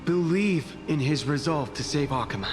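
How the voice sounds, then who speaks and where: An actor playing a loyal, alert young city guard in a video game, with an earnest delivery. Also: male voice, a little high, lively intonation, moderate pace, big-room sound, loud music behind the voice, close to an everyday voice. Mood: reverent, reassuring.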